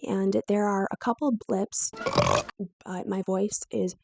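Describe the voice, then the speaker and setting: A woman's voice: mid-range voice, fairly even intonation, takes it slowly. A woman in her twenties chatting casually in a home video, rambling and correcting herself as she goes. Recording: loud background noise in home video.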